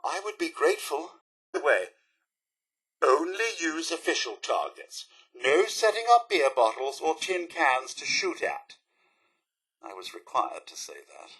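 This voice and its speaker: A man's voice: a little high, sing-song, a little slow, fairly bright tone, slightly rough, full character voice. A man with a British accent voicing a prim, fussy robot butler in a video game, polite and sardonic, with theatrical, formal delivery. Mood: disdainful, dry. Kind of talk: video game character voice lines.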